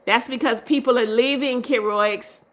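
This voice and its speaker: A woman's voice: fairly high pitch, steady tone, moderate pace. A middle-aged woman hosting a casual livestream, reading out viewer comments and answering them. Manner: reads comments aloud and answers viewers warmly, laughs often. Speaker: livestream host.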